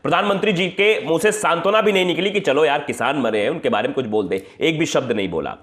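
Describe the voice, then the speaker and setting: A man's voice: fairly high pitch, animated, fast, fairly bright tone. A man presenting unscripted political commentary in an emphatic, colloquial manner, often posing rhetorical questions. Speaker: news presenter. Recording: echoey room.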